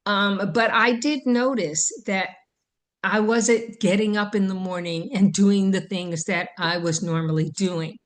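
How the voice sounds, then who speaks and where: Slow, thoughtful woman podcast host. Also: medium pitch, fairly even intonation. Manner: slow, thoughtful, hesitant with many ums. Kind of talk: casual chat.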